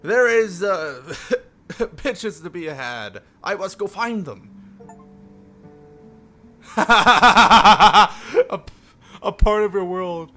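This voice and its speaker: A man's voice: sits high, lively intonation, very slow, pauses often, sharp tone. A man livestreaming a visual novel, reading aloud theatrically and breaking off for playful, jokey asides. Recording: music behind the voice. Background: faint music.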